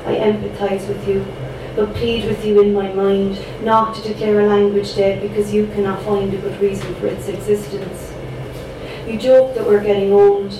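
A woman's voice: mid-range voice, level tone, moderate pace. Young Irish woman, spoken word poet, rhythmic and dramatic, very echoey room, recorded from afar, noisy background. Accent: Irish, Cork. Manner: rhythmic, dramatic delivery with chatty asides between poems. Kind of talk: spoken word poetry performance. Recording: echoey room picked up from a distance, background noise.

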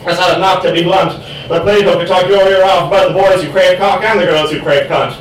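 A man's voice: high-pitched, steady tone, fairly quick. A man performing spoken word poetry in an emphatic, profane delivery at a live event. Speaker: poet. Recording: echoey room, far mic, faint noise.

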